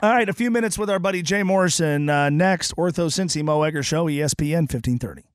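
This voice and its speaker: A man's voice: fairly high pitch, fast talker, background noise. Easygoing male sports radio host. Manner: relaxed, easygoing with filler words. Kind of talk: casual sports radio chat. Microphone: studio microphone.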